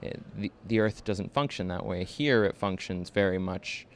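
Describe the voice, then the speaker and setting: Low-voiced man interviewer, hesitant. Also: moderate pace, a little hiss or hum. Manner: hesitant questions with fillers and restarts. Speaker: radio interviewer.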